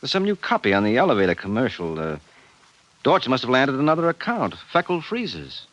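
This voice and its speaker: Jittery radio-drama husband and office worker, bright forceful voice, lively swings in pitch. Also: male voice, a little fast, lo-fi sound. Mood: puzzled. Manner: excitable, exclamatory. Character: jittery, bewildered ordinary office man, an excitable husband. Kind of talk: 1950s radio acting.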